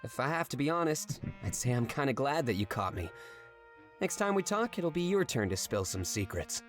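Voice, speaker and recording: A man voicing a sly, flirtatious animal rebel in a video game, smooth-talking and confiding. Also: medium pitch, sing-song, normal speed, close to an everyday voice. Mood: sincere, candid. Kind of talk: video game character dialogue. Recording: music behind the voice.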